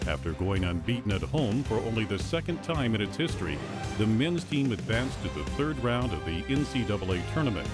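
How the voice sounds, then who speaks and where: American male newsreel narrator over loud music. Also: lowish voice, big pitch swings, fairly quick. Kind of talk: scripted narration.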